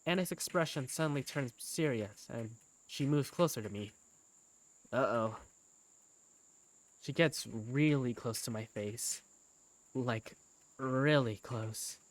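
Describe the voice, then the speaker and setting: A woman's voice: a bass voice, slow, long pauses, no room sound, poor audio. A woman narrating an amateur visual novel in the first person as its lead character, with a wry, conversational manner.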